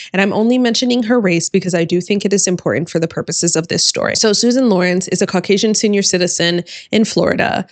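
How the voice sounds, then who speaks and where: A woman criminal attorney hosting her channel, her voice going hoarse, talking emphatically and directly to viewers in casual commentary. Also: mid-range voice, no echo. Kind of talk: casual reaction commentary to camera.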